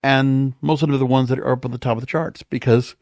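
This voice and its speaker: A man's voice: mid-range voice, steady tone, fast, a little mellow, no room sound. Man rambling casually in a dry studio. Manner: conversational, rambling, thinking aloud. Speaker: podcast host. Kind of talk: casual solo monologue. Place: home or small studio.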